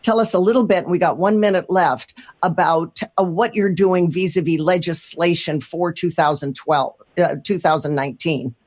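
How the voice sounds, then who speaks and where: A woman's voice: fairly low pitch, moderate pace, a little mellow. A woman with an American accent hosting and interviewing on a talk radio show.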